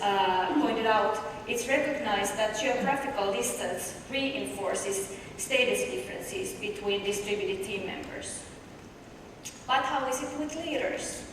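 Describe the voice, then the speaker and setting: A woman from Finland with a Finnish accent, presenting an academic paper at a conference in a measured, explanatory way with rhetorical questions. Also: a little high. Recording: echoey distant hall recording with noise.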